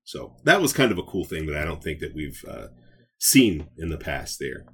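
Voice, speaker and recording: A man's voice: extremely low pitch, sing-song, moderate pace, a bit bright, slightly rough. A man speaking as a podcast co-host in a casual review chat, conversational and blunt about his opinions.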